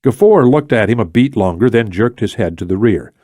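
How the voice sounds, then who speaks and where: A man narrates a thriller audiobook from a script. His voice sits fairly low, and the recording is very dry. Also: expressive, a little fast, mellow, no room sound.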